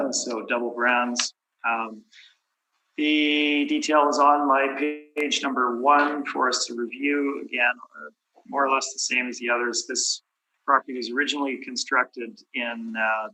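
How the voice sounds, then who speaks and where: A hoarse man losing his voice, presenting his side at a property tax hearing in a methodical way, often clearing his throat. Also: medium pitch, pushed and bright, low-quality recording. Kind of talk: formal presentation with many fillers. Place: municipal committee room.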